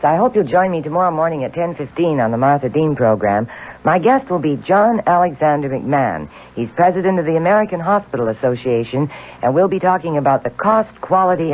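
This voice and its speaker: A woman radio talk show host reading a scripted program promo. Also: sits low. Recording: thin phone-like radio sound.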